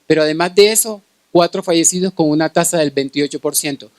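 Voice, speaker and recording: Male medical lecturer, middle-aged, Colombian Caribbean accent, fairly high pitch, dry sound, keeps checking listeners follow. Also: quick pace, dry recording. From Cartagena, Colombia. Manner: checks in with listeners through frequent short tag questions. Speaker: pediatric infectious disease specialist giving a lecture.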